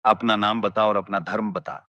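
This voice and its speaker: A man's voice: on the low side, flat delivery, normal speed, pushed and bright, dry recording. A man playing a threatening, demanding armed militant in a cartoon story. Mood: menacing. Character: menacing male armed militant interrogating captives. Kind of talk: dramatised cartoon story dialogue. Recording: muffled, clearly noisy recording.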